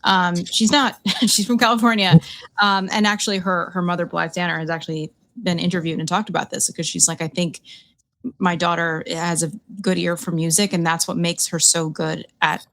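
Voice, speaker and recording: Lady podcast panelist, lowish voice, rambly casual chat w lots of ums. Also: little pitch movement, normal speed, a bit bright. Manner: chatty, many fillers and repeats.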